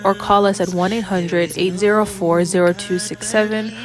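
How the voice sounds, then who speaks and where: A woman reading a promotional announcement in a brisk, informative way. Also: medium pitch, little pitch movement, music in the background.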